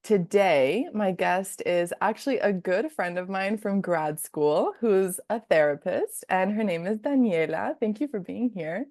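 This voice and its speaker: A woman's voice: medium pitch, lively intonation, unhurried, few pauses, a little mellow, no echo, studio quality. A young woman with a Dominican accent hosting a podcast, chatty and rambling in a friendly way. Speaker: podcast host. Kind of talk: casual interview.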